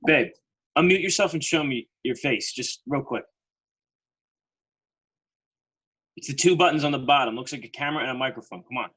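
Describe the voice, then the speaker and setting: A man's voice: medium pitch, quick pace, pushed and bright, husky, low-quality recording. An actor playing a chatty, well-meaning delivery guy, rambling and mildly flustered in a casual video-call play. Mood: casual, impatient. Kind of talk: casual one-sided call in a Zoom play.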